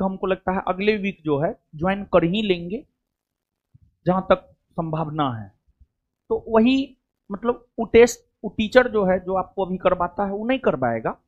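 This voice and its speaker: A man's voice: high voice, phone-quality sound. A man speaks to a coaching class. His talk is loose and chatty. He keeps circling back with little check-ins to the students. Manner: chatty, rambling, repeats "theek hai". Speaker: coaching teacher.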